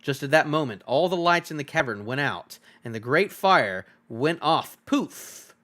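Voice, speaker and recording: A man's voice: on the high side, expressive, a little slow, bright, forceful tone. A man reading a fantasy novel aloud as a streamer, in dramatic storybook narration.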